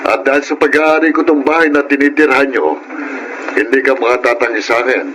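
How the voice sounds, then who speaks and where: A man's voice: mid-range voice, steady tone, brisk, fairly bright tone, a little hiss or hum, natural voice, rough recording. A man with a Filipino accent playing an earnest, protective older father-in-law and grandfather in a radio-style melodrama. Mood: concerned, firm. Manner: grave, confiding.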